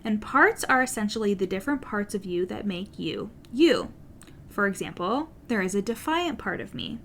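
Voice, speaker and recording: A woman's voice: mid-range voice, very animated, moderate pace, fairly bright tone, slight background noise. Earnest woman coach with a stutter. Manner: earnest, direct, occasional swearing. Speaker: business coach, podcast host. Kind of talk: solo podcast monologue.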